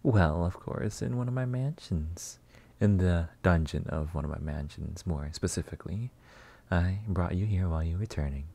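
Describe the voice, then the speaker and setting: A man playing a flirty, possessive, delusional vampire master in a roleplay ASMR monologue, teasing and theatrical with drawn-out words. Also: deep voice, creaky, warm tone, husky, full character voice. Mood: smug teasing.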